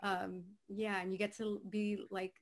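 A woman's voice: mid-range voice, little pitch movement, unhurried. A woman from Miami, Florida, a voice actor who is a guest on an interview podcast, talking in an upbeat way and laughing often. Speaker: guest, voice actor and agency owner. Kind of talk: conversational interview.

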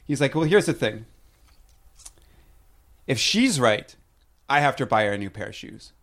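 A man in his thirties telling funny stories in casual chat, animated and laughing at his own jokes. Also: medium pitch, normal speed.

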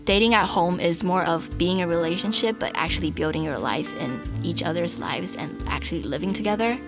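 Young woman with a lowish voice, storytelling. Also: a little fast, over music. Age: young adult. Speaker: interview guest. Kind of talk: casual personal storytelling.

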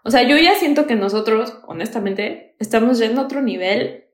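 A woman's voice: a little high, moderate pace, roomy sound. A young Mexican woman with a Mexican accent, hosting a podcast in casual, slangy, emphatic chat. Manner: slangy, emphatic, peppers speech with "güey". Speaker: podcast host.

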